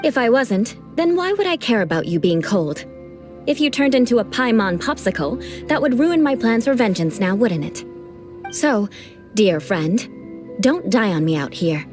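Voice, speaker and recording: Aristocratic game knight, formal, haughty, dry teasing, lively rising and falling voice, soft music behind. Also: female voice, on the high side, moderate pace. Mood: cool. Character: proud aristocratic young woman knight, cool and teasing. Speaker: mentor in a game. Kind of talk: game cutscene voice acting.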